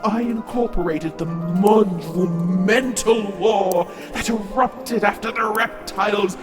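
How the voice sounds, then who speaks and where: A woman playing a solemn, inquisitive weaver of fate in an audio drama, with measured, formal speech. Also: mid-range voice, natural voice. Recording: echoey hall with faint music.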